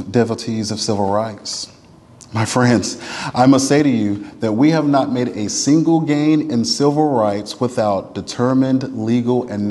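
A man reading a written text aloud in a formal, oratorical style, with measured, deliberate and emphatic phrasing. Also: medium pitch, darkish tone. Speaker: reader at a church event. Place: large church interior. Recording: reverberant large hall.

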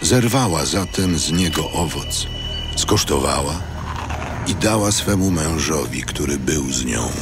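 Very deep, slow male scripture narrator. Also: lively intonation, over loud music.

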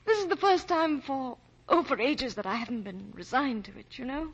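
An actress playing a refined, emotional young woman in love, breathy-voiced, in a 1940s radio melodrama. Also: sits high, animated, normal speed, exaggerated voice. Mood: anguished. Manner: emotional, genteel. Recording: dull old 1940s radio recording.

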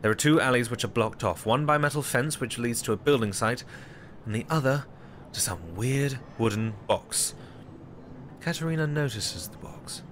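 A man's voice: mid-range voice, expressive, normal speed, fairly bright tone. A man reading scripted narration for an audio drama. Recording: faint background noise.

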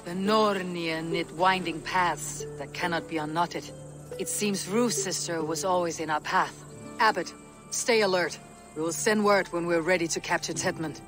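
An actor playing a stern Viking war leader, blunt and commanding, in a video game cutscene. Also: male voice, sits high, fairly even intonation, normal speed, over music, natural voice.

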